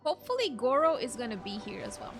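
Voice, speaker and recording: A woman's voice: on the high side, sing-song, fast talker. A young woman gaming streamer giving casual, reactive let's play commentary in an informal way. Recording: game music behind voice. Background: video game music.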